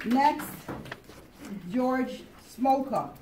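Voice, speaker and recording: A woman's voice: sits high, lively intonation, very slow, warm tone. A woman moderating a public meeting, introducing and thanking panel speakers. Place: indoor community meeting room. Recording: faint background noise.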